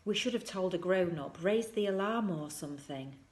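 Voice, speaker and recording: Lower-voiced woman reading a children's story. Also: lively intonation, normal speed, slightly rough. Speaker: teacher reading aloud. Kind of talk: children's story narration with acted dialogue.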